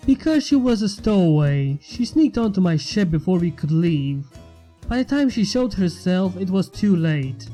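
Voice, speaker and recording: Businesslike pony lord, male reader: blunt, terse, mellow storybook delivery. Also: high-pitched, big pitch swings, moderate pace. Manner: terse, matter-of-fact. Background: background music.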